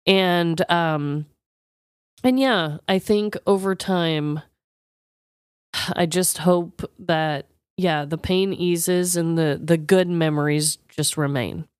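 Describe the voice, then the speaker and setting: Female podcast co-host with a clean, smooth tone and slowish delivery; heartfelt, supportive, casual, recorded dry. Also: lowish voice, no room sound. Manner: affectionate, supportive, informal.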